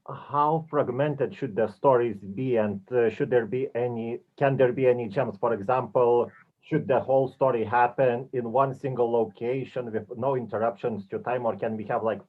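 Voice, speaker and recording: Man on a thin phone-like line. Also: mid-range voice. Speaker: workshop participant asking questions. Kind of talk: casual question in a group meeting.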